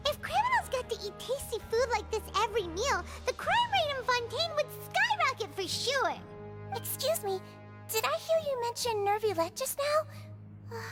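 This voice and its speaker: Childlike game girl: extremely high, cute, animated inflection, music clearly behind. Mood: excitable, surprised, then gently concerned. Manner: cute, expressive, girlish. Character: small, sweet, childlike female head nurse or excitable companion. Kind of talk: video game voice acting.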